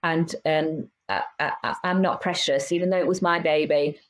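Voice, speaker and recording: Woman in her fifties, low voice, frank, animated, restarts a lot, podcast guest. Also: normal speed. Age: 50s. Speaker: podcast guest, business owner. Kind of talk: casual interview chat.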